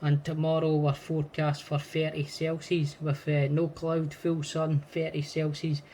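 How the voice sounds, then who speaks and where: A man's voice: a little high, completely flat delivery, a little slow, mellow, slight background noise, rough recording. A man with a Scottish accent chatting casually as an amateur radio operator, friendly and repeating phrases for emphasis. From Scotland. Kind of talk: casual radio contact chat.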